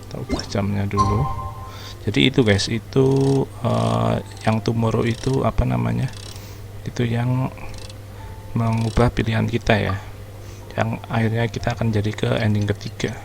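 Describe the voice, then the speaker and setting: A man's voice: medium pitch, level tone, brisk, vocal fry, long pauses, faint music behind. An Indonesian man giving casual, slangy gameplay commentary as a streamer. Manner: informal, slangy. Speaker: gaming streamer.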